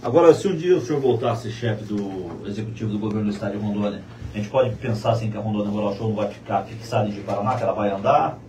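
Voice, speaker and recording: A man interviewing a guest on a radio show, asking questions in a rapid run. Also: on the low side, dark tone, a little hiss or hum. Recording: roomy, distant mic with faint ambience.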